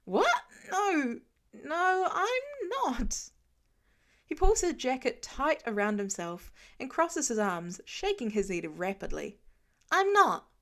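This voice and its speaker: High stammering teen boy as a woman puts him on, panicky, breathless, exhausted. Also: big pitch swings, slow, a bit bright, heavily acted. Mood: panicked denial. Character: frightened exhausted teenage boy.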